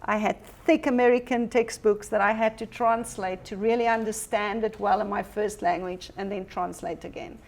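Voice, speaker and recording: A woman's voice: medium pitch, steady tone, moderate pace, vocal fry, few pauses. A woman whose first language is Afrikaans, giving an earnest, encouraging workshop presentation about teaching technology, with frequent ums.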